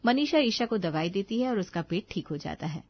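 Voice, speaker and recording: A woman's voice: medium pitch, expressive, fairly quick, dull audio. A woman narrator reading a scripted family story.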